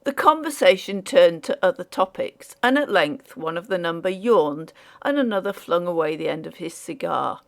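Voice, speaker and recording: A woman narrating an audiobook story in a measured, formal storytelling manner. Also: on the low side, expressive, gravelly.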